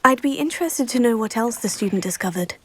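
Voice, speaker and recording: A woman's voice: a little high, animated, fast, breathy edge. A woman playing a polite, curious young student adventurer in a video game, courteous and composed. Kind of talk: video game character acting.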